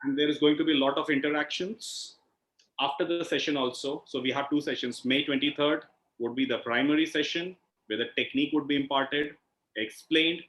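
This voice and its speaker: A man's voice: a little high, fairly even intonation, brisk, fairly bright tone. A man with an Indian accent explains as an instructor on an online call, in a didactic way, often checking in with a questioning "right?". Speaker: healing instructor and session host. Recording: echoey room over video call.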